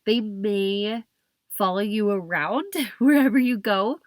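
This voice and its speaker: A young woman hosting her own podcast while stuffy and hoarse from a cold, talking in a chatty way with frequent restarts. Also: medium pitch, no room sound. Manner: chatty, restarts and hedges with kind of. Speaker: podcast host. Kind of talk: solo podcast talk.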